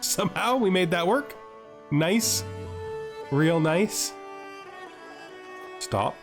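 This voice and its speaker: A man's voice: sits high, lively intonation, extremely slow. Chatty man commentating over music. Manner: chatty, thinking aloud. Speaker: host of a gaming series. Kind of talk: casual gameplay commentary. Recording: music playing behind the voice.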